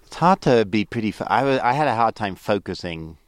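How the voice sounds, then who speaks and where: Very deep-voiced tired man on trail. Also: brisk, no echo. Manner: relaxed, swears casually. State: tired from hiking. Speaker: hiker vlogging a thru-hike. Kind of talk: casual trail diary chat. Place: outdoors at a campsite. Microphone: clip-on mics.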